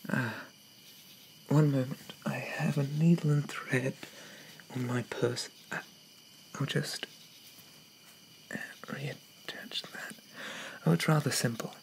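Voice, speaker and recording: Man playing a slow, creaky, shy zombie boy. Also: medium pitch, fairly even intonation, a little hiss or hum, rough recording. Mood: apologetic, flustered. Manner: hesitant, stammering, old-fashioned politeness. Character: shy, gentle, polite Victorian zombie young man. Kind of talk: ASMR roleplay, soft-spoken with whispers.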